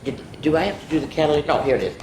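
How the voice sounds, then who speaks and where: A woman's voice: racing, a little mellow. Echoey woman reading children's rhymes aloud. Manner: chatty, exclamatory, drawing out rhymed words. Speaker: children's story time host. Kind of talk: animated picture-book read-aloud with sing-song rhymes and casual asides. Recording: echoey room with background rumble noise.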